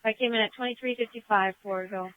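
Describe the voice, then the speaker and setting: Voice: medium pitch, steady tone, fast. Police dispatcher on tinny radio. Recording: tinny, narrow-band police radio transmission.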